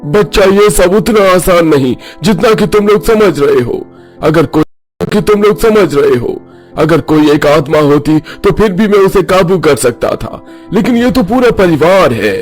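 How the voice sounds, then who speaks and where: A man's voice: high-pitched, lively intonation, unhurried. A man voicing a grave, instructive village holy man in a cartoon horror story. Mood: grave warning. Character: solemn village holy man who battles spirits. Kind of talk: Hindi animated story dub. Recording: music under the voice.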